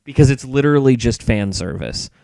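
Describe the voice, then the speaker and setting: A man's voice: mid-range voice, expressive, brisk, vocal fry, dark tone, dry recording, clean studio sound. A man co-hosting a podcast, chatting casually about films in a sarcastic, nerdy way. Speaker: podcast co-host.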